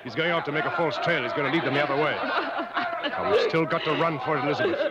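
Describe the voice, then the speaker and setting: An actor playing a resolute adventurer in a 1950s radio drama, speaking with urgent authority. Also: male voice, fairly high pitch, fairly even intonation, rapid-fire, a loud crowd, natural voice. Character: commanding adventurer, hunter leading jungle escape, resolute. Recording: muffled old radio broadcast recording.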